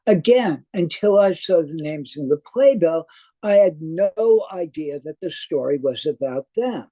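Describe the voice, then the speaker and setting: Mellow, measured older man reviewing. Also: a little high, lively intonation, rough recording. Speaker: theater reviewer. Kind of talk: scripted spoken review.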